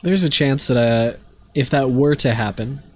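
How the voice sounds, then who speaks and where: A man's voice: medium pitch, moderate pace. Stuffy-nosed male streamer, rambling. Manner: relaxed, rambling, many fillers. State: stuffy from allergies. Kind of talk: casual live-stream chat while gaming.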